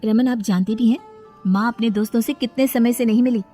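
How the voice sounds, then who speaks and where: Cheerful, devoted young bride voiced by a woman, high pitch, family story drama over music. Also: fairly even intonation, a little fast, mellow, lightly acted. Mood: explaining. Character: cheerful, devoted young daughter-in-law and wife. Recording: music under the voice.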